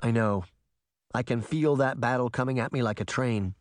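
A man's voice: mid-range voice, moderate pace, dry recording, studio quality, close to an everyday voice. Man reading as a determined, worried young girl. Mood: troubled. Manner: earnest, troubled. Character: determined, worried young girl heroine, weary but defiant. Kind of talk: audiobook character voice.

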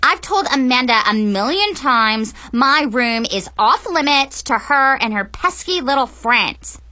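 A woman's voice: high-pitched, lively intonation, a little slow, bright, forceful tone, dry recording, pitched above the performer's own voice, full character voice. A woman voicing a bossy, spoiled teenage girl on the phone, whiny and dramatic. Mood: annoyed.

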